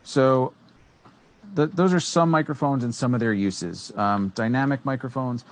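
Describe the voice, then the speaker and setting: A man's voice: mid-range voice, normal speed. A man in his thirties, co-hosting a casual tech talk from a small studio, explaining gear with many fillers and restarts. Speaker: co-host. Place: small studio room with green screen. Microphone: studio microphone on boom arm.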